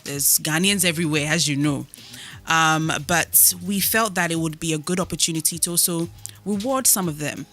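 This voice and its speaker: A woman's voice: low-pitched, moderate pace, a bit bright, faint music behind. A woman speaking as an interview guest, explaining things in a casual, conversational way.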